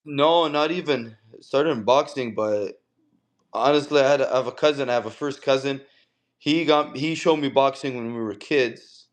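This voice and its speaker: A man's voice: mid-range voice, normal speed. A man, a pro boxer chatting casually as a guest in an interview and telling stories in loose, slangy speech. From Canada. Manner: laid-back, slangy storyteller.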